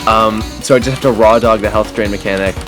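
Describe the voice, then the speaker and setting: A man's voice: fairly low pitch, fairly even intonation, fast talker, over music. A young man hosting a gaming video, rambling and joking with casual profanity among friends. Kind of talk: casual game commentary with friends.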